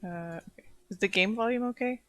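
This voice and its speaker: A man doing casual live game commentary, calm and wry, asking bemused questions aloud as he plays. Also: high voice, brisk. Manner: even-toned and calm. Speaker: game streamer. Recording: noisy stream with game ambience behind.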